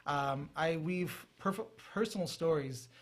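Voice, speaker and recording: Higher-voiced man reciting poetry rhythmically. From United States. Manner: rhythmic, measured recitation; quicker, trailing off when chatting. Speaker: poet guest. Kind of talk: poetry recitation and interview answers. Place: TV talk studio.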